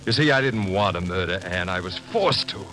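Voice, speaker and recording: An actor playing a tormented, murderous husband in a dramatic, deliberate 1940s radio style, stammering when frightened. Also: male voice, deep voice, lively intonation, pushed and bright. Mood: grim, confessional. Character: tormented murderous husband, coldly determined then panicking. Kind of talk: 1940s radio acting, first-person narration and dialogue. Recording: old radio recording with music behind.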